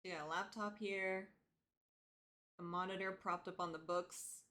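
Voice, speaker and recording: A woman's voice: on the low side, steady tone, measured pace. A woman live-streaming a game, chatting casually and reacting as she reads the dialogue aloud. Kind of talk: live let's play commentary, reading dialogue aloud. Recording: music playing behind the voice. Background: loud game music.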